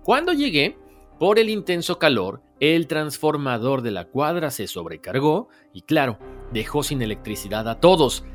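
A man with a Latin American Spanish accent hosting a mystery show, talking conversationally and reading listener stories in an expressive storytelling way. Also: mid-range voice. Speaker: host of a paranormal stories show.

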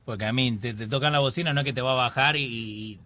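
Quick bright-voiced male co-host. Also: mid-range voice, dry recording. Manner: quick talker, frequent backchannel. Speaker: radio co-host. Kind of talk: casual chat.

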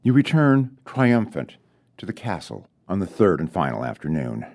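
A man reading his own short story as literary narration, deliberate and with dramatic pauses. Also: low voice, very animated, darkish tone.

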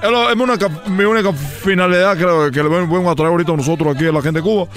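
A man's voice: sits high, very fast, faint music behind, pitched below the performer's own voice, close to an everyday voice. A man voicing a boastful Cuban baseball player with a put-on Cuban accent, joking and rambling. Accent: Cuban Spanish put on.

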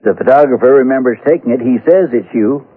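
A man's voice: medium pitch, fast talker, breathy edge, close to an everyday voice. An actor playing a dogged Western lawman in a radio drama, plain-spoken and folksy as he questions people and narrates his case. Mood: probing. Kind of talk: old-time radio drama narration and dialogue. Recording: tinny old radio broadcast, music behind.

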